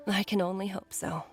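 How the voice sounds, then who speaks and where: A woman's voice: mid-range voice, a bit bright. Earnest, troubled woman healer. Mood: pleading concern. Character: gentle, troubled healer mother with grave concern. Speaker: video game voice actor. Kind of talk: dramatic game dialogue.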